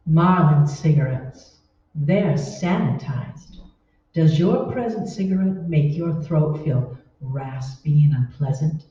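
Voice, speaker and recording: A sultry advertising voice acted by a woman, low and mellow, selling very slowly in radio-play style. It sounds distant and very echoey. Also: expressive. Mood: purring, seductive. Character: purring, seductive cigarette commercial voice from elevator speaker. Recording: roomy, muffled, distant recording.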